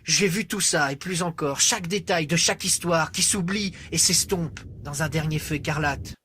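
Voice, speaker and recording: Theatrical man with a higher-pitched voice. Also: moderate pace, a little hiss or hum. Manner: theatrical, swinging between hushed and intense. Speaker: writer and storyteller. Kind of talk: dramatic poetic monologue, storytelling.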